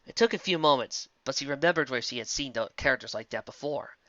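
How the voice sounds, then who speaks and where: A narrator reading a scripted fantasy story aloud in an expressive storytelling style. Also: mid-range voice, quick pace, dry recording, lo-fi sound. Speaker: fiction narrator.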